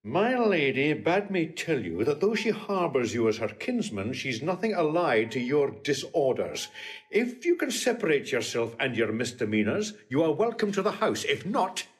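Pompous, swooping steward. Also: male voice, a little high, sing-song, moderate pace. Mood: stern, haughty. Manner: haughty, indignant, emphatic. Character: pompous, scolding, puritanical household steward. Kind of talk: theatrical Shakespearean audio drama.

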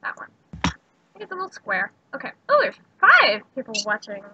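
A woman's voice: on the high side, wildly swooping pitch, brisk, slight background noise. A young woman hosting a casual livestream, chatty and giggly as she narrates what she builds. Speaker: livestream host. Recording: dull lo-fi stream mic.

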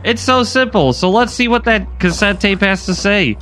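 Bright-voiced man narrating game lore. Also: sits high, animated, normal speed, a little hiss or hum. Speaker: gaming video host. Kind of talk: scripted game lore commentary. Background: faint background music.

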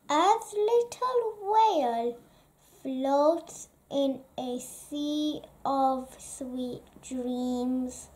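Female bedtime-book reader, high and mellow, lulling delivery with pauses between phrases. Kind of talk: read-aloud bedtime story narration.

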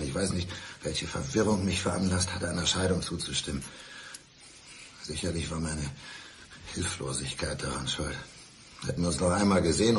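An actor in a German radio crime drama playing a lonely, bitter blind divorced man who speaks in a probing, deliberate way. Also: low-pitched, a little hiss or hum, lightly acted.

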